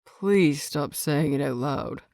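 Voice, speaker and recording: A woman voicing a stern older man, a clan teacher with a measured, formal, reserved manner, in an audio story. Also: sits low, animated, creaky, a little mellow, no room sound, clean studio sound, voice pushed down, heavily acted. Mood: grave.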